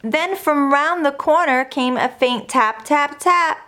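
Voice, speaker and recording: A woman with a fairly high voice reads a children's rhyming story aloud. Her delivery is very slow and measured, with each phrase set out deliberately and expressively. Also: bright, forceful tone. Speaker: storybook reader.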